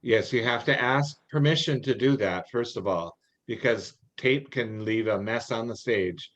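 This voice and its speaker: An older man answering questions in an informal meeting, explaining rules in a halting, anecdotal way. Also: mid-range voice, fairly bright tone. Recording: dull, lo-fi online call audio.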